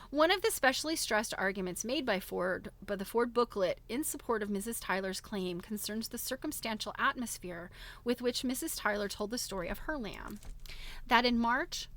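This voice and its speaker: A woman hosting a live book reading, reading aloud with expressive, giggly, chatty asides. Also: a little high, moderate pace. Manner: giggly, reacts expressively to what is read.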